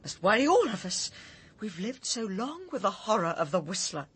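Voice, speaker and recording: An actress with a British accent playing an anxious, kindly neighbour in a radio drama, breathy, earnest and emotional. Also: female voice, mid-range voice, big pitch swings, moderate pace, a bit bright, full character voice. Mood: distressed, fearful. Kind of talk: naturalistic radio drama acting.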